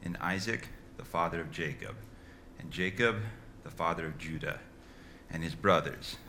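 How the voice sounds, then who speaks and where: A man reading scripture aloud in a slow, measured, soft-spoken way. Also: deep voice, a little hiss or hum. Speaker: scripture reader.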